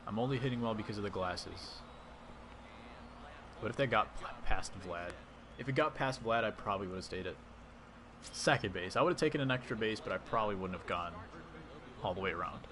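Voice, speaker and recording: A man's voice: on the low side, lively intonation, a little fast, long pauses, noisy background. A man casually commentating his own baseball video game on a live stream, chatting with and answering his viewers as he plays. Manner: chatty, reads and answers chat while playing.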